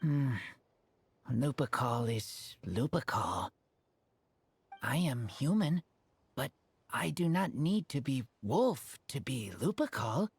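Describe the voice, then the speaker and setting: A woman voicing an earnest, loyal wolf-raised teenage boy in a video game, with husky, halting, simple speech. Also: a bass voice, big pitch swings, darkish tone, dry recording, full character voice. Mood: sincere.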